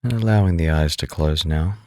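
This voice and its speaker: A man leading a guided meditation as an instructor, speaking in a calm, unhurried, instructive way. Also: very deep voice, no echo, studio quality.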